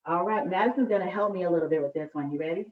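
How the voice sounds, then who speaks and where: A woman's voice: on the low side, racing. A woman hosting a live children's read-aloud, chatting to families at storytime. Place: indoors at home, on a live video call. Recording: echoey room, distant muffled mic.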